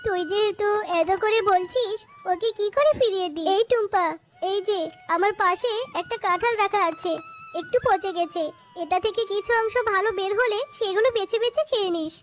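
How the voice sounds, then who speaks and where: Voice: very high voice, normal speed, faint music behind. An actor voicing a stingy, haughty tigress mother in a children's cartoon, curt and grudging.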